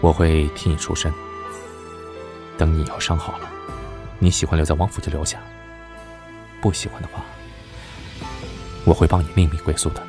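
Guy playing a deep voiced dramatic prince in a costume radio drama, earnest, music behind. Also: flat delivery, normal speed, long pauses. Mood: gentle, reassuring. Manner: theatrical, with sighs and exclamations. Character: male prince regent, time-traveller in a nobleman's body, earnest, dramatic. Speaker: amateur voice actor. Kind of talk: Chinese costume audio drama acting.

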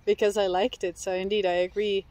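A woman's voice: mid-range voice, level tone, a little fast, a little mellow, rough recording. Woman host interviewing outdoors. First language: Dutch. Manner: friendly, with frequent affirming murmurs. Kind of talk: casual interview. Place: park, seated at a picnic table outdoors.

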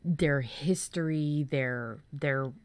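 Low-voiced woman chatting casually. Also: drawn-out words. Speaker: podcast co-host. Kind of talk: casual chat.

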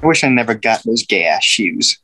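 A man's voice: lowish voice, lively intonation, normal speed, sharp tone. A man playing an ashamed, defensive teenage boy in a script table read, hesitant and faltering.

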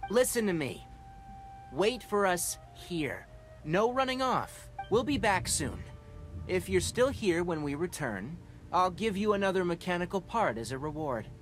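A man voicing a young fox-eared forest ranger in a video game, patient and scholarly, who explains things in a measured, gently firm way. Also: sits high, big pitch swings, soft background music. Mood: gently stern. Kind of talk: game voice acting.

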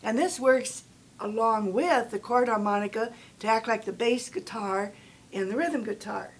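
An older woman demonstrating a bass harmonica, explaining it plainly and informatively. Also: normal speed, slightly rough. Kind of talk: instrument demonstration. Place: convention room with dark curtains.

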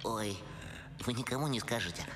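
A man's voice: medium pitch, normal speed, fairly bright tone, slight background noise, close to an everyday voice. A man voicing a timid, naive, bookish cartoon hedgehog, hesitant and polite. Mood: shy, embarrassed. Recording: echoey hall with faint music.

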